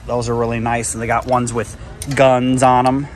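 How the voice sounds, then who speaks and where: A man's voice: mid-range voice, level tone, normal speed, slight background noise. A man vlogging a walkthrough of a large store on a handheld camera, chatty and easily impressed, thinking aloud. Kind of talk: casual walkthrough narration. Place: large retail store indoors. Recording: store music playing behind. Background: store music.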